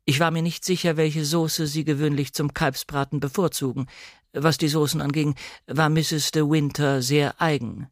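Male reader as female housekeeper, formal, servile politeness, cool, raised pitch, dry sound. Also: steady tone, moderate pace, no room sound, close to an everyday voice. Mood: cool, deferential. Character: formal, coolly deferential female housekeeper of a manor.

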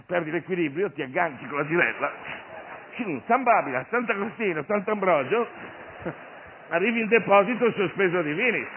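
Male stage comic, higher-pitched, animated satirical monologue with asides. Narrow-band recording with some noise. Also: normal speed. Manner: animated, digressive, addresses audience and partner, mimes as he talks. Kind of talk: theatrical stand-up monologue with a sidekick. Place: theatre stage. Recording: noisy, narrow phone-like radio recording of stage show.

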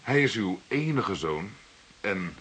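An actor playing a shrewd junior police detective in a radio drama, asking pointed, insinuating and confrontational questions. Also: male voice, low-pitched, sing-song, takes it slowly, a bit bright, breathy edge, exaggerated voice, rough recording. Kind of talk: Dutch radio drama dialogue. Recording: hissy old radio drama recording.

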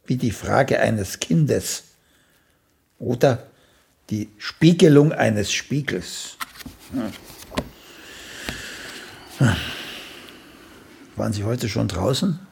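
Gravelly, condescending old playwright. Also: male voice, medium pitch, lively intonation, slow pace, a little mellow, exaggerated voice. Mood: reciting slowly. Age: older. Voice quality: raspy, gravelly. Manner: pedantic, drawling, dryly cutting. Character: aging, blunt, condescending celebrated male playwright and mentor. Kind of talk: German radio play acting.

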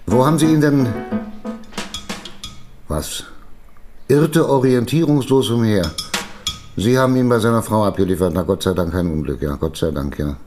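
An actor playing a jovial, inquisitive newspaper boss in a radio drama, talking in a halting, colloquial way. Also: male voice, on the low side, lively intonation, darkish tone, faint music behind, close to an everyday voice. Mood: brisk, relieved. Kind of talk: German radio drama dialogue.

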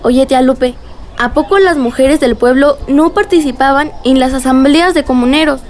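Woman acting high-voiced curious young niece. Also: moderate pace, darkish tone, slight background noise, close to an everyday voice. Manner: inquisitive, naive. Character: curious young niece questioning her aunt. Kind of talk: radio drama acting.

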